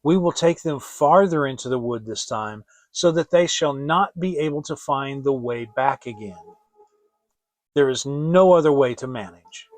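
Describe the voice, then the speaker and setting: A man voicing a coldly bossy, scolding stepmother in a storybook, with a sharp, reproachful way of talking. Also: mid-range voice, a little slow, no echo, natural voice. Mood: grim, insistent.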